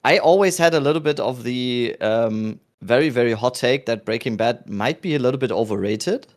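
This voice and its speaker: A man in his thirties with a German accent, hosting a podcast in a relaxed, rambling chat with restarts. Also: mid-range voice, normal speed. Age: 30s. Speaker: podcast host. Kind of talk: casual chat.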